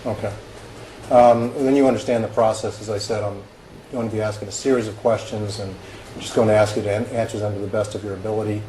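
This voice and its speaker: A man with a lowish, flat voice questions a witness in a formal deposition. He talks in a halting way, full of hesitations and restarts. The room is echoey with steady background noise. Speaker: examining attorney.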